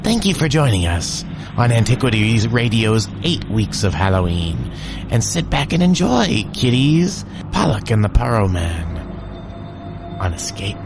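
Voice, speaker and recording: A man introduces vintage radio dramas with a playful, theatrical flair, and his pitch swoops widely. His voice is a little low, and clear music plays behind him. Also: sing-song, a little slow. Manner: theatrical, teasing, sing-song delivery. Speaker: podcast host presenting old radio shows. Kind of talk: spooky playful show introduction. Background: music bed.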